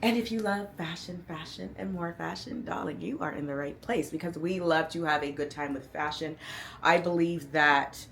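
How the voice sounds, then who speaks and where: Woman with a low voice, chatty and upbeat. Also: moderate pace, creaky, fairly bright tone, a little hiss or hum. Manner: chatty, upbeat, playful slang, hypes herself up. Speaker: fashion and lifestyle vlogger. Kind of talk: casual outfit breakdown to camera.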